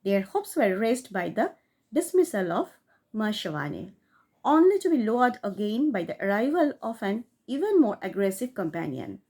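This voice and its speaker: A woman reading a classic short story aloud, in a steady storytelling manner that rises for emphasis. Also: mid-range voice, moderate pace, slightly rough. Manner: steady storytelling read with rising emphasis on key phrases. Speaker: audiobook reader.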